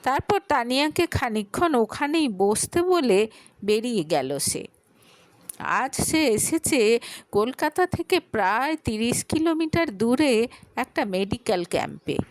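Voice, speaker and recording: A woman narrating an audio story in an expressive, dramatic way. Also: a little high, measured pace, no echo.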